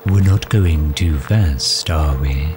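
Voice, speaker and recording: Man playing doting villain, very low male voice, slow delivery, formal, fussing, protective, ASMR audio drama. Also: noisy background. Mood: solicitous, musing. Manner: fussing, courtly, gently formal, unhurried. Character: doting, overprotective male villain caring for injured hero.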